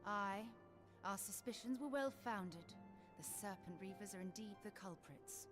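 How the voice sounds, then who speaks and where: Actress doing serious fantasy game investigator lady, measured n formal, olde wording, mid pitch, music behind. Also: lightly acted. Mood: grave. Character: composed, grave female investigator in a fantasy game.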